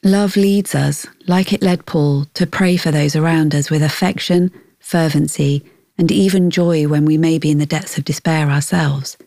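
Woman, low-pitched, mellow tone, scripted devotional narration, measured delivery. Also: fairly even intonation, vocal fry, no room sound, clean studio sound. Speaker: podcast host and devotional reader.

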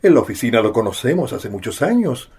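A man's voice: mid-range voice, sing-song, a little fast, darkish tone, slightly rough, exaggerated voice. An actor playing a trusting, reasonable middle-aged father in a radio drama. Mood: calm, reasonable.

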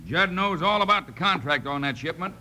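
A man's voice: on the high side, lively intonation, fairly quick, bright, forceful tone. A man playing a sly, taunting outlaw with folksy delivery in a 1950s radio western. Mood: sneering. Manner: sneering, folksy. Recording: vintage radio recording, faint noise.

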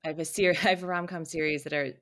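A woman co-hosting a podcast, with a deep, low voice for a woman. She tells stories with energy and acts out other people's lines. Her tone is relaxed and chatty. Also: rapid-fire. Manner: storytelling, acts out quotes. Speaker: podcast co-host and author. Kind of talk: casual podcast chat.

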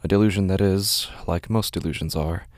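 A man playing a weary, anxious shut-in, narrating a horror audio drama in a halting, hushed, introspective way. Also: low voice, steady tone, dry recording, studio quality, natural voice. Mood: listless, pensive. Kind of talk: first-person horror audio drama monologue.

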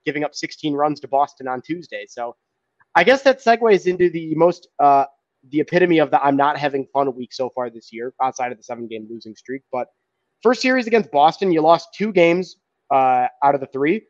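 A man recaps games in a rambling way, full of fillers, his sentences often fading off quietly. The recording sounds dry and a bit dull. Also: fairly high pitch, animated, fairly quick, dry recording. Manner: rambling with many "uh"s, trailing off quietly. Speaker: podcast co-host. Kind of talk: casual sports recap.

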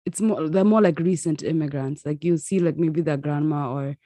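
Young woman, Kenyan accent, lively casual talk, dry close recording. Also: low-pitched, no echo. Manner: animated, quick reactions and laughter. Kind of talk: casual chat.

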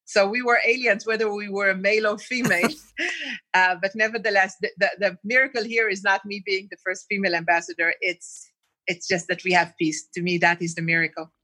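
A woman's voice: mid-range voice, fairly even intonation, a little fast, bright, forceful tone. A woman in her fifties from Israel, a diplomat being interviewed, speaking thoughtfully and restarting often as she searches for words. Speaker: diplomat guest. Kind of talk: podcast interview.

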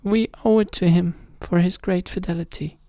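A man voicing a devout, loyal fairy-tale queen with a gentle, sincere manner. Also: high-pitched, fairly even intonation, moderate pace, no room sound, voice pushed up.

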